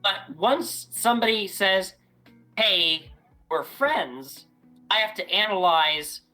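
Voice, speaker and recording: Lively bright-voiced woman chatting. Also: mid-range voice, slow, faint music behind. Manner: animated, chatty, restarts often. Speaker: podcast guest. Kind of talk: casual podcast chat.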